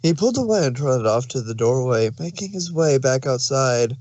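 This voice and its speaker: A man's voice: medium pitch, lively intonation, normal speed, a little mellow, no echo. A man narrating a fan fiction story, reading it aloud.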